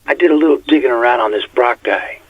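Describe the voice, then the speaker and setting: A man's voice: medium pitch, quick pace, dry recording, phone-quality sound, natural voice. A man playing a weary, haunted police detective nearing retirement, speaking a reflective, confiding journal entry in an audio drama. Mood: grim, investigative.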